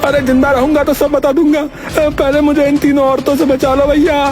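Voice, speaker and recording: A man voicing a panicky young tea-stall owner who yells for help. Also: extremely high pitch, flat delivery, a little fast. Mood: panicked. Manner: frantic, yelling for help. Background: background music.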